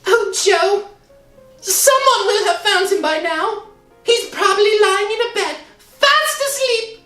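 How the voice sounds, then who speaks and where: Woman playing worried pleading wife, very high voice. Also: animated, bright, forceful tone, full character voice. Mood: anxious, soothing. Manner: earnest, pleading, drawn-out. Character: worried, pleading wife, nagging yet loving. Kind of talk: old-time radio drama acting. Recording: roomy echo with music underneath.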